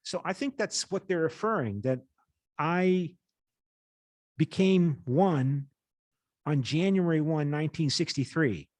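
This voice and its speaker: Higher-pitched man in his fifties, lecturing. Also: takes it slowly, pauses often, a little mellow, dry recording. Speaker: university lecturer. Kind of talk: lecture, reading an article aloud with asides.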